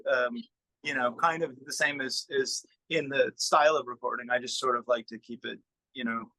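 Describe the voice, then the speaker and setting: A man's voice: mid-range voice, steady tone, fairly quick, sharp tone, dry recording, low-quality recording. A middle-aged man, a musician, chatting casually and informally in an interview in a self-effacing way. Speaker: musician and record producer being interviewed.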